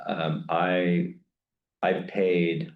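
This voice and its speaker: A man with a low voice talks through his thoughts in a candid, meandering way, full of restarts and asides. The sound has a noticeable room echo. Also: little pitch movement, very slow, lo-fi sound. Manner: reflective, rambling with many restarts and fillers. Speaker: guest lecturer answering questions. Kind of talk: candid academic Q&A.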